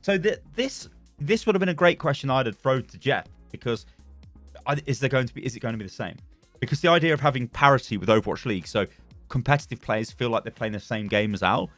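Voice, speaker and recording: A man's voice: mid-range voice, animated, fast talker, a bit bright, no room sound, soft background music. A young British man chatting casually as a gaming YouTuber, rambling and laughing as he reads and reacts to forum posts. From Britain.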